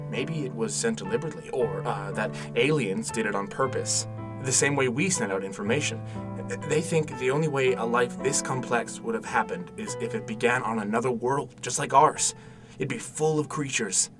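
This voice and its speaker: A gentle, protective dad played by a man in a naturalistic audio drama. He speaks softly and haltingly, stumbling a little in his eagerness. He sits slightly back from the mic, with music underneath. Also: medium pitch, far from the mic, close to an everyday voice. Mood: tender, wondering. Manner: hesitant, soft-spoken, stammering when worked up. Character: gentle, eager, protective father of a withdrawn young boy.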